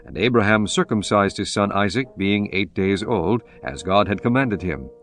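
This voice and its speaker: A man's voice: lowish voice, normal speed, fairly bright tone, soft background music. A man narrating a scripture audiobook in a solemn, formal manner, reading archaic text as a scripted performance.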